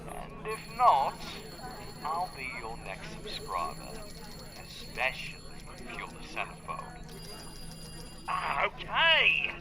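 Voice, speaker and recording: Over a busy crowd, a man acts a cocky rival from the magazine trade. He talks slowly and flirtatiously, with smug swagger and a melody that swings widely. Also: mid-range voice, huge pitch swings, exaggerated voice. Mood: smug flirting. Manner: smug, swaggering, drawn-out delivery. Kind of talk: scripted audio roleplay acting.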